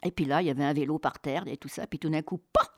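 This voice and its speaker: Female interview guest, slightly low voice, dry close sound. Colloquial, expressive storyteller who acts out dialogue. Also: fast talker, no echo. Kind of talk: animated travel storytelling.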